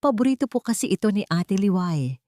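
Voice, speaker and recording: A woman's voice: medium pitch, animated, brisk, no echo, clean studio sound, close to an everyday voice. A woman voicing a polite, eager younger sister from the province with a Filipino accent in a story. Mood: cheerful, polite. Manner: eager, respectful, gentle.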